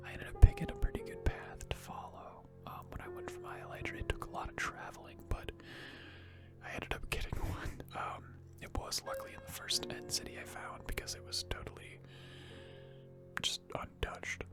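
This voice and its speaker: A video host whispers gaming commentary for ASMR, with no real voicing, just breath. The talk wanders and hesitates, close to the mic. Music plays clearly underneath. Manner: rambling, hesitant.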